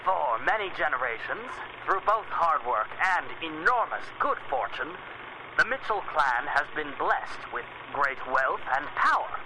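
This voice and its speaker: An actor playing an older, magnanimous millionaire giving a measured, oratorical speech in a radio drama. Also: male voice, a little high, big pitch swings, background noise. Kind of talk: 1930s radio speech acting.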